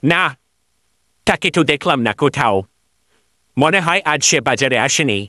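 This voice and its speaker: A man voicing an excitable, flattering village man in a cartoon. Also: a little high, normal speed, no echo, studio quality. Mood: plain. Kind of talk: cartoon voice acting.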